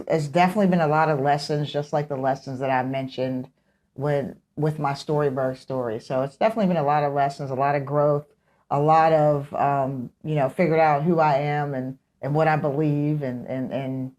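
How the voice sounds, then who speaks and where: A woman's voice: sits low, a little mellow. An American woman in her fifties talking emphatically in a conversational interview, as a guest with strong opinions. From Pittsburgh, United States. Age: 50s. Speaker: podcast guest, teacher.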